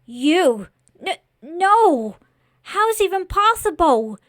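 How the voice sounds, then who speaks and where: A woman playing a terrified, grieving farm boy who shouts in panic and hostility in a horror story. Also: very high voice, big pitch swings, extremely slow, dry recording, clean studio sound, voice pushed up, exaggerated voice. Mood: shocked grief.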